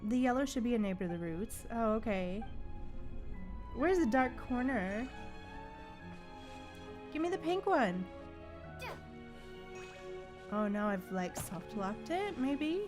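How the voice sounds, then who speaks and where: A woman's voice: a little high, lively intonation, slow, pauses often. A woman livestreamer giving casual, chatty gameplay commentary and reacting aloud to the game. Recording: game music behind.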